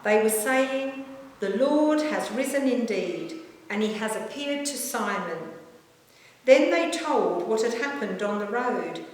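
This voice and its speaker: A woman's fairly high voice rings through a very echoey hall with a long reverb tail. She reads scripture as a church minister would, solemn and formal. Her delivery is measured yet expressive, like telling a story to be heard. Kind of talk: solemn Gospel reading aloud.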